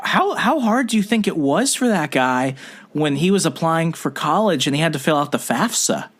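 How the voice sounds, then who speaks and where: Animated joking male podcast host. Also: on the high side, moderate pace. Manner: animated, joking, prone to rants. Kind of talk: casual conversation.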